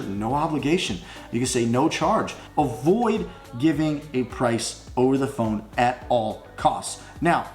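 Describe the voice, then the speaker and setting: A man giving an informal sales coaching talk, blunt and emphatic, slipping into sample phone pitches. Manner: blunt, emphatic, salesman-like, addresses listeners as guys. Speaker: instructor teaching phone sales for junk removal. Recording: roomy echo with music underneath.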